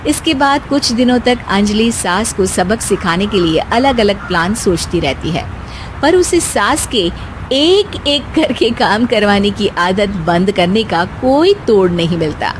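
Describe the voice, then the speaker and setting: A woman's voice: mid-range voice, expressive, measured pace, noisy background. A woman narrator reading a scripted moral story for a cartoon.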